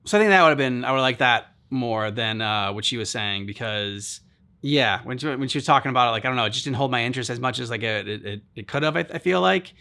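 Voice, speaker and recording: A man hosting a game reaction video, chatting casually in a halting, rambling way with many filler words. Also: medium pitch, lively intonation, pushed and bright. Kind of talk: casual chat commentary.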